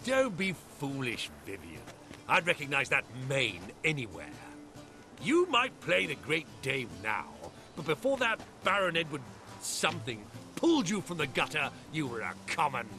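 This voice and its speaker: A man's voice: fairly high pitch, huge pitch swings, normal speed, sharp tone, soft background music, exaggerated voice. A man voicing an abusive, taunting bully in a video game, sneering and insulting. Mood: mocking, scornful. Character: sneering, abusive upper-crust male bully.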